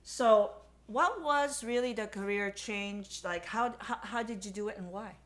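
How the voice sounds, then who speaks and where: A woman's voice: mid-range voice, unhurried. A woman hosting a casual interview, chatty and enthusiastic, often stumbling and restarting as she asks her questions. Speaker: host.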